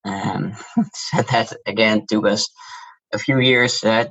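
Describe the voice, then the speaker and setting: A man with a Dutch accent, founder of a shoe brand, giving measured, businesslike interview answers. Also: fairly low pitch, steady tone, a bit bright, breathy edge, low-quality recording. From Netherlands.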